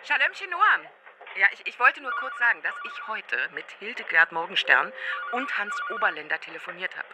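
A woman's voice: medium pitch, sing-song, fairly quick, over music. An actress playing a chatty, reassuring woman colleague on a telephone call in a radio play. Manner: effusive, chatty. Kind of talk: radio play phone dialogue.

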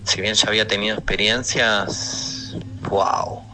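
Slow, low-voiced Argentine man reminiscing. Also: little pitch movement. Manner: halting, pausing. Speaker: listener sending an audio message. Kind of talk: casual personal anecdote. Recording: voice message with faint background noise.

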